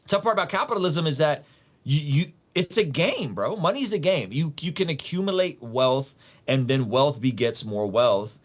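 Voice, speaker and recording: A man's voice: fairly high pitch, animated, normal speed. A man from Soviet Russia, a podcast guest explaining things in an emphatic, casual, slangy way, repeating phrases and posing rhetorical questions.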